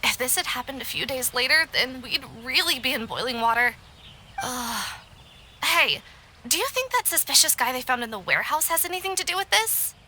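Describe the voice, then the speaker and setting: Young editor, high and very bright, friendly but worried game dialogue, faint noise behind. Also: female voice, animated, fairly quick, full character voice. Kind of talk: video game voice acting.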